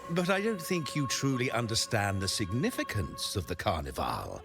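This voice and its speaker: An actor playing a pious, lofty high-ranking cleric in a video game, formal, measured and preachy. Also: male voice, wildly swooping pitch, vocal fry, exaggerated voice. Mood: solemn, preaching. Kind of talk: theatrical video game voice acting. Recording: music behind the voice.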